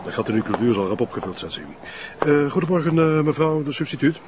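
An actor playing a self-important, officious chief commissioner with a Flemish accent, hesitating between phrases in an audio drama. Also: male voice, on the high side, expressive, fast, natural voice. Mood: deferential. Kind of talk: naturalistic audio drama acting. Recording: clear ambient noise behind voice.

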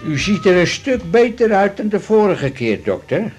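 A man's voice: high voice, sing-song, normal speed, darkish tone, faint music behind. An actor playing a polite, easygoing male patient chatting in a leisurely way in a radio drama. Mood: polite, chatty. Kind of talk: 1980s Dutch radio drama dialogue.

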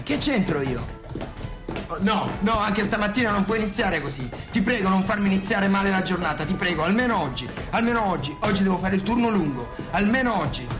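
An actor in a radio theatre piece, playing an exasperated, put-upon worker quarrelling at home. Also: male voice, high voice. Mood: pleading, irritated. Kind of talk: stage-style Italian radio theatre.

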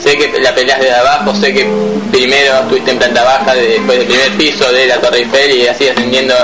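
Boastful Argentine male caller, played by a man for radio comedy: florid, effusive, pompous, over loud guitar backing. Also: mid-range voice, level tone, a little fast, lightly acted, rough recording. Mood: boastful, eager. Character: effusive, boastful male phone-in friend, rambling pompous praise. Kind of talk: improvised radio comedy sketch.

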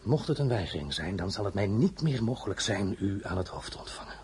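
An actor playing a proud, weary French emperor who swings from murmurs to commanding, threatening outbursts in a dramatic audio play. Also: male voice, on the low side, big pitch swings, fairly quick, darkish tone, slight background noise. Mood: angry, threatening. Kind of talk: dramatic radio play acting.

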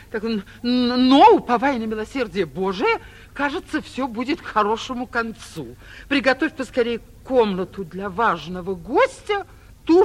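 An actress playing a vain, bossy, middle-aged mayor's wife, grand and flustered, in 1940s theatrical comedy style. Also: female voice, a little high. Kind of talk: 1940s theatrical stage comedy acting for radio.

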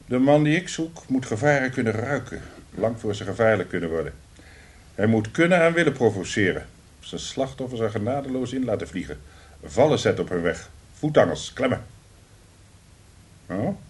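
A man's voice: mid-range voice, lively intonation, moderate pace, lightly acted. An actor in a radio play as a blunt, sardonic male director, dry and commanding in a job interview. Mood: hard, blunt. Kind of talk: radio drama acting.

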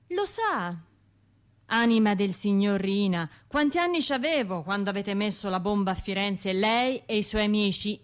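An actress playing a tormented, bitterly wry Florentine bomb survivor in a radio drama monologue, with a Tuscan accent and colloquial, brooding self-talk. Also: female voice, mid-range voice, lightly acted. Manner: brooding colloquial self-talk, repeating words, addressing herself and imagined listeners.

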